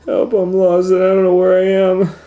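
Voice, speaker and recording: Man putting on a high-pitched bold little storybook girl. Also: monotone, normal speed, dark tone, reverberant, lo-fi sound, natural voice. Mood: panicked, wailing. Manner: dramatic, exclamatory, declaring.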